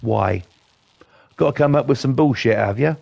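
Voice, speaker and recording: A man hosting casual gameplay commentary, blunt and defiant, his voice croaky from a cold. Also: medium pitch, moderate pace, a little mellow, no room sound, highs cut off. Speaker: gaming channel host.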